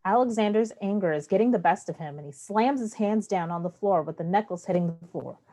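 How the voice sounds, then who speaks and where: A woman's voice: medium pitch, fairly quick, mellow. A woman reading stage directions as the narrator of a play, in scripted narration.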